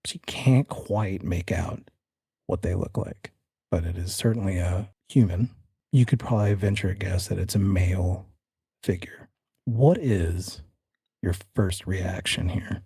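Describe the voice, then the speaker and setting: A man's voice: deep voice, measured pace, vocal fry, warm tone, slightly rough, no room sound. A man narrating a tabletop horror game as game master, conversational and addressing the player directly. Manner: conversational, describes scenes in the second person. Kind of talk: tabletop horror game narration, part suspenseful storytelling, part casual rules talk.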